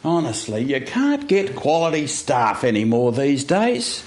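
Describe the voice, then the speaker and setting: A man's voice: mid-range voice, sing-song, a little slow, a little mellow, a little hiss or hum. A man playing a pompous, grumpy office boss in a radio play, with a blustering, impatient manner. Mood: scolding. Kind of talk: stage radio play acting.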